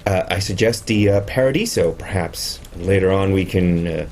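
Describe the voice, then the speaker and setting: A man's voice: sits low, normal speed, darkish tone, slight background noise. An actor playing a polite, eager male holographic robot in a radio play, hesitant and formal.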